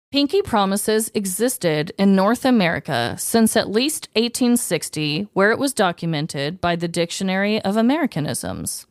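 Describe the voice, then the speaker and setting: Animated woman podcaster, lively pitch. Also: smooth, no room sound, clean studio sound. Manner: animated, expressive storytelling. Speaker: podcast co-host. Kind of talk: casual comedic podcast chat.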